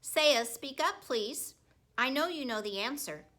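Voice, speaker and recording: Strict children's storybook schoolteacher, played by a woman reader: firm, deliberate, coaxing, slow and bright. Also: sits high, lively intonation, voice pushed up, full character voice. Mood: stern.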